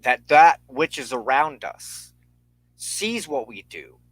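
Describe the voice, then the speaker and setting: A man hosting a spiritual talk in a solemn, sermon-like style, speaking in measured, formal and ceremonious phrases. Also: mid-range voice, very animated, a bit bright, breathy edge, no room sound, poor audio. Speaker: host of a weekly spiritual talk show.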